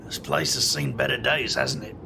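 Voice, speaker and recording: A man with a gravelly voice playing a wry hero in a tabletop roleplay, dry and joking, narrating his own moves. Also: medium pitch, big pitch swings, moderate pace, noisy background. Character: wry young man, a magic-wielder.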